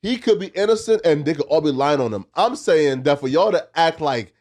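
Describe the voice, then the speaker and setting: A man with a slightly high voice, debating casually on a podcast. He is emphatic and argumentative, with very slangy phrasing. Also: expressive, brisk, a little mellow. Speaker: podcast panelist.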